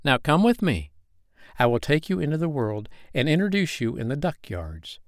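Man voicing a fretful mother duck, high lilting storybook voice, close and dry. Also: big pitch swings, moderate pace, dry recording. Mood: proud. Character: fussy, weary mother duck.

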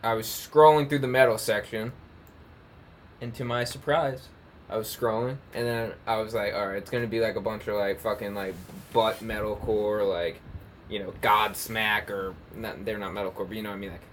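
A man with a somewhat low voice talks on a casual podcast in a laid-back, hesitant way, full of fillers. A faint hiss sits behind him. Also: steady tone, normal speed. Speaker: podcast co-host. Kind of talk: casual chat.

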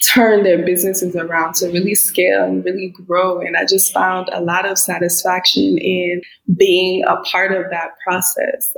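A woman's voice: on the low side, poor audio. A middle-aged woman from Liberia, raised in the United States, speaking as a business coach guest in an earnest, reflective way. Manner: reflective, earnest, with frequent "you know". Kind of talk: casual interview talk.

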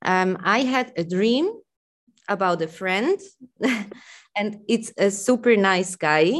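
A woman with a Swiss accent calling in to share a personal story, hesitant and full of pauses and fillers. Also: mid-range voice. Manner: hesitant, many pauses and fillers, soft laughs. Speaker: caller. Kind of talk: personal testimony on a call-in.

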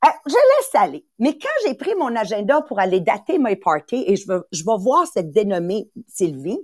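Animated Quebec-accented woman around sixty. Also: medium pitch, moderate pace, slightly rough, no echo, clean studio sound. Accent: Quebec French. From Italy. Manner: animated and emphatic, repeats phrases, acts out little voices. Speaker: podcast host and sales coach. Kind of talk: motivational talk.

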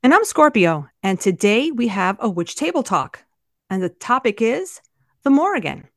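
A woman's voice: a little high, very animated, moderate pace, no echo, studio quality. A woman co-hosting a podcast, chatting casually with playful jokes. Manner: joking, playful. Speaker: podcast co-host.

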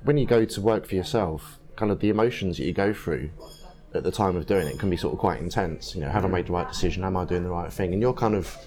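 A man hosting a casual podcast interview, his voice croaky and gruff from a throat infection, chatty and relaxed with plenty of fillers. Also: deep voice, fast. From England. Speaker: podcast host. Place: co-working space bar.

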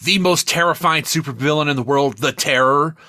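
A man co-hosting a podcast, chatting casually and profanely about films in an emphatic, rambling, excitable way. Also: on the high side, a little fast, dry recording, studio quality. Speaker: podcast co-host. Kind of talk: casual, profane film review chat.

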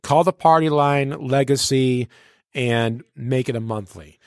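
A man's voice: mid-range voice, a little slow, a little mellow, dry recording, clean studio sound. A man who works as a radio personality and DJ, telling stories casually and colloquially with a showman's turn of phrase as an interview guest. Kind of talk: casual storytelling in a sit-down interview.

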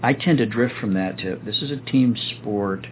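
A man hosting a podcast, reflective in casual chat and quick to laugh. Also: lowish voice. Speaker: podcast host. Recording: lo-fi phone-like sound with faint hiss.

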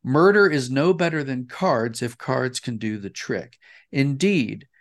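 A man's voice: mid-range voice, animated, unhurried. A man speaking as an interview guest, explaining his reflections earnestly and with emphasis. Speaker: interview guest, audio dramatist.

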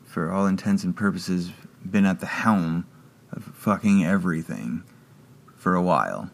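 A man with a very low, deep voice, speaking in an unhurried, halting way. He restarts his sentences often and leans on fillers as he thinks aloud in a relaxed interview chat. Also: gravelly. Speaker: guest. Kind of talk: casual conversation.

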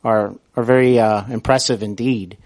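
A man hosting an interview show in a conversational style, complimentary and somewhat halting as he feels out his sentences. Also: fairly low pitch, steady tone, a little mellow. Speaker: podcast and radio host, interviewer.

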